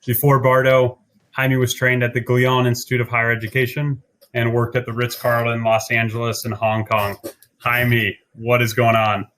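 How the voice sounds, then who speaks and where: A man's voice: medium pitch, flat delivery, moderate pace, fairly bright tone, slight background noise, low-quality recording. A man hosting a conversational podcast interview. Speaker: podcast host.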